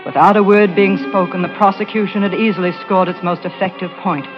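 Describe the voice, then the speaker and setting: A woman's voice: mid-range voice, fairly even intonation, quick pace, lightly acted. Haunted woman narrator, played by an actress, old radio. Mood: stunned, bitter. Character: haunted, frightened woman on trial, narrating her nightmare. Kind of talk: 1940s radio drama narration. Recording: muffled old radio recording, music behind.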